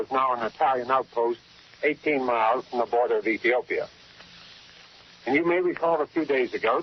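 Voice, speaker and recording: A man working as a radio correspondent, reading a scripted 1940s news report in a formal, measured manner over an old shortwave broadcast. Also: medium pitch, breathy edge, lo-fi sound.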